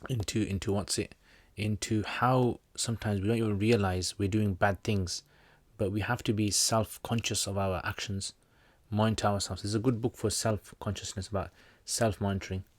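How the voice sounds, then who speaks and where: A man with a fairly low voice hosts a livestream, answering viewer comments in a relaxed, joking, meandering way. The sound is dry and close, with no room around it. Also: moderate pace, hardly any pauses, no room sound. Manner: jokey, rambling, with slangy asides. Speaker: Islamic teacher hosting a livestream Q&A.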